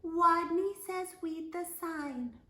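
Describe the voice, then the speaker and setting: A woman's voice: slow, pushed and bright, roomy sound, far from the mic, heavily acted. A woman voicing a tiny, painfully shy storybook rat boy who mumbles and says W for R. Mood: nervous. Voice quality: squeaky, small voice.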